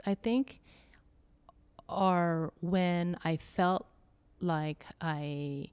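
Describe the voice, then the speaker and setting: A woman talking slowly and thoughtfully about faith and church life, pausing often with soft fillers. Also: low voice, creaky, smooth. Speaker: church member interviewee. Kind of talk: reflective conversation.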